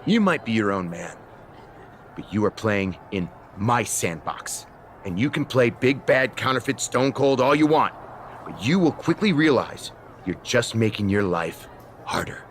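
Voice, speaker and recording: A man's voice: mid-range voice, lively intonation, a little slow, slight background noise, close to an everyday voice. A man playing a smug, theatrical wrestling general manager in a video game cutscene, with a pompous, sarcastic showman's delivery. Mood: smug, condescending.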